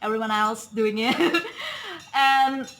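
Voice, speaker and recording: A woman's voice: fairly high pitch, slow pace, fairly bright tone, rough recording. A woman from Bali co-hosting a podcast, chatting casually with loose grammar and laughing often. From Bali, Indonesia. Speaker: podcast co-host. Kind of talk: casual conversational chat.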